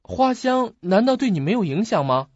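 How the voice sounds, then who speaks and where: An actor in an audio drama playing an earnest, puzzled young student who questions and muses to himself. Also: male voice, high-pitched, sing-song, moderate pace, no room sound. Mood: pressing. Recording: muffled lo-fi audio.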